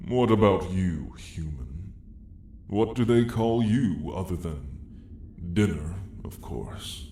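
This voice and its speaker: A man's voice: sits low, lively intonation, slow pace, mellow. A man playing an ancient, weary dragon lord with dry menace, telling his tale in a grand, wry, old-fashioned way for an ASMR roleplay. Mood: dryly menacing. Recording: roomy echo, faint background noise.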